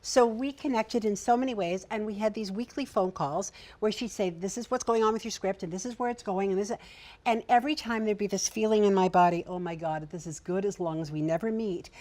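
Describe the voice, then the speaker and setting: A woman with a young-sounding voice, a writer and producer telling irreverent stories on a discussion panel, energetic and quick to laugh. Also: mid-range voice.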